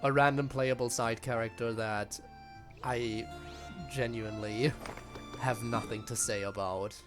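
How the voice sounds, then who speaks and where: A male streamer with a medium-pitched voice gives casual gameplay commentary. He rambles bluntly and grumbles, with faint music behind him. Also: fairly even intonation, measured pace. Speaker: game streamer.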